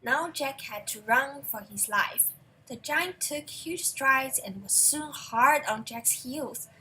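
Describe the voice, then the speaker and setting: A woman's voice: high-pitched, slow, sharp tone, slight background noise, rough recording. A young female reader narrating a fairy tale aloud from a book. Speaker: storybook reader.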